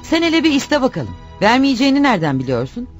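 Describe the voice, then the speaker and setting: Radio play wife: reproachful, insistent, sarcastic, very animated delivery, faint music underneath. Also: female voice, high-pitched, fast. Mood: pressing. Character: nagging, reproachful wife pushing her jobless husband. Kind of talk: radio theatre acting.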